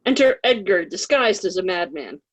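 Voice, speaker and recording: Animated woman reading stage directions. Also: on the low side, normal speed. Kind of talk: scripted narration.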